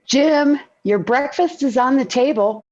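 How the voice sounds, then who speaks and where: A woman's voice: high voice, unhurried, a little mellow. A woman playing a patient, soothing housewife and mother in a 1940s radio sitcom. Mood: brisk. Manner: placating, motherly. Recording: dull 1940s radio recording.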